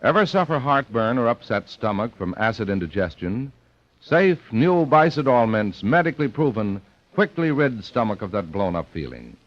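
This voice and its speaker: Lively American radio announcer man, muffled. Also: medium pitch, normal speed. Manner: polished, emphatic. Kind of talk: mid-century radio announcing and sponsor copy. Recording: muffled old radio broadcast.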